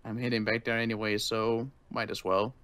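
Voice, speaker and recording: A man playing a tired, injured survivor in a zombie game roleplay, speaking in a halting, dry, curt way. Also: on the low side, little pitch movement, fairly bright tone, clear, resonant tone, lightly acted. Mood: weary, grudging. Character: weary, grudging injured male survivor of a zombie apocalypse. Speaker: roleplay player.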